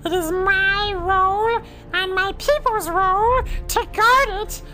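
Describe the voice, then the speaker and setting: A woman's voice: extremely high pitch, slow pace, bright, forceful tone, faint music behind, heavily acted. A woman playing an imperious cobra princess who guards an ancient tomb, with haughty, theatrical proclamations in a comic adventure radio play. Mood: commanding.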